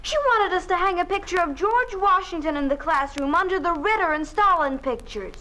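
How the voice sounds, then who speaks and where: A cold, zealous schoolgirl of twelve in a 1950s radio drama. Her voice sits high, and she speaks in a prim, self-righteous way, coolly accusing the adults. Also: lively intonation, moderate pace, pushed and bright, full character voice.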